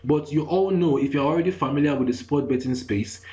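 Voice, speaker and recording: A man's voice: fairly high pitch, fairly quick, mellow. A man with a Nigerian accent talking through screenshots in an online lesson, repeating himself and constantly asking if listeners follow. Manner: repetitive, keeps asking "you get me?". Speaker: online course instructor.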